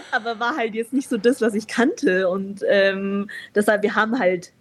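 A woman's voice: medium pitch, steady tone, measured pace. A young woman born in China, speaking as a guest in a candid conversation, reflective and open, with frequent fillers and easy laughter.

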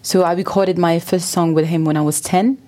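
Low mellow Swiss woman. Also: brisk. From Switzerland. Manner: relaxed, many fillers like "like" and "uh". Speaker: interview guest, singer. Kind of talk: casual interview answers.

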